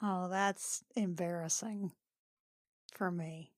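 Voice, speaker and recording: A woman's voice: on the low side, fairly even intonation, takes it slowly, dry recording. Casual woman player with a dry, close sound. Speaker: tabletop game player. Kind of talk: casual chat and improvised role-play.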